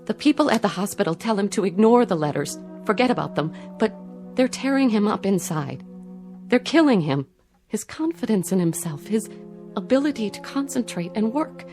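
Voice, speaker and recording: An actress playing an aggrieved, earnest wife in an audio drama, speaking in a measured, pointed, pleading way. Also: female voice, mid-range voice, expressive, a little mellow, soft background music, close to an everyday voice. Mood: pained, earnest. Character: aggrieved doctor's wife, earnest, quietly confronting her husband's tormentor.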